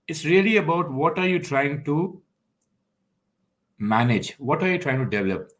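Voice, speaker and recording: A man from India speaking as a guest on an online panel, giving advice in an emphatic, animated way. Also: fairly high pitch, normal speed. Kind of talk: panel discussion.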